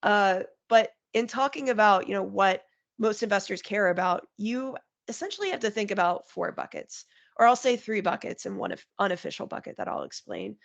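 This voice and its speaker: Woman, market strategist guest, nasal from a cold, heavy vocal fry, clear and conversational. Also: mid-range voice, very animated, moderate pace, muffled recording. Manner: explains in clear plain terms, lists points. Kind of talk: conversational podcast interview.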